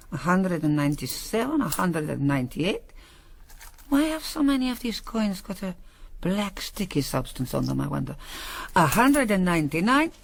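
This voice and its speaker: An animated female voice as a convent's Mother Superior. She is composed, kindly and brisk, giving orders with wry authority in a radio play. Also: medium pitch, gravelly, a little hiss or hum. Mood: counting, pleased. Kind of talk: radio drama acting.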